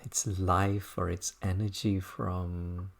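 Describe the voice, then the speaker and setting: A meditation teacher guiding a body scan practice in an unhurried, contemplative manner, speaking in short, halting phrases. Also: deep voice, little pitch movement, creaky.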